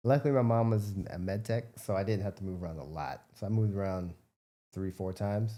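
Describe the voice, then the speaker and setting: This is an American man with a lowish, very mellow and relaxed voice. He speaks as a guest in a casual interview. His answers are informal and wander as he explains himself. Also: a little fast. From United States, Midwest. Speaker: interview guest, biomedical researcher and entrepreneur.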